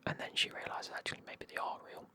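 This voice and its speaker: A speaker, whispering a casual, rambling recap of a fantasy novel full of fillers. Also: fast. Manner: soft whisper, rambling, frequent fillers and swearing. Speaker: book reviewer. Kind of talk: whispered ASMR book recap.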